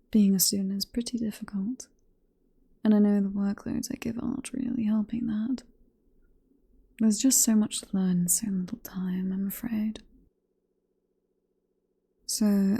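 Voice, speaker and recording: Vampire professor for ASMR roleplay, played by a woman: British, female, hushed breathy whisper, deeply mellow tone, possessive, teasing and coaxing. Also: little pitch movement. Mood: teasing, reassuring. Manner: coaxing, teasing, unhurried murmur. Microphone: close ASMR microphone.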